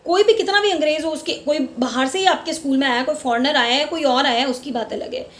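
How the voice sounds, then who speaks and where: A woman's voice: high voice, fast talker, fairly bright tone. A middle-aged Indian woman hosting her own vlog, speaking with passion, repeating words for emphasis and mixing English words into her Hindi. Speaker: channel host. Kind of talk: casual opinion vlog. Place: lives in Mumbai.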